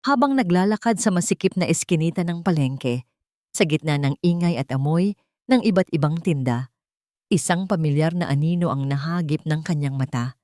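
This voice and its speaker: A woman's voice: sits low, lively intonation, normal speed, warm tone, no echo, studio quality. A woman narrating a dramatic fictional story as a scripted audiobook.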